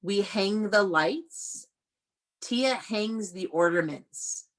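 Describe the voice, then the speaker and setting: A woman's voice: medium pitch, extremely slow, low-quality recording. An American woman teaching young children in an interactive read-aloud over a video call, praising and prompting them with questions. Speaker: elementary school teacher.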